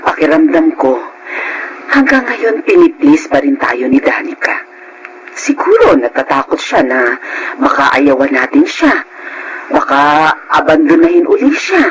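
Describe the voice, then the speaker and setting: A thoughtful, concerned family member in radio drama style. His voice is fairly high and extremely animated, over faint background noise. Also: unhurried, pauses often, rough recording. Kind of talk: Filipino radio drama acting.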